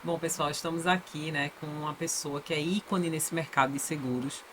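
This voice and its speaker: A woman co-hosting a podcast, introducing a guest in a measured, admiring way. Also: low-pitched, steady tone, background noise. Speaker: podcast co-host. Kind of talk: podcast interview.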